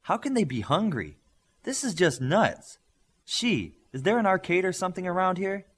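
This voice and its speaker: Casting: male reader as boyish young superhero, excitable and curious, lively range, slightly husky. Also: sits high, normal speed, pitched above the performer's own voice, full character voice. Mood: exasperated.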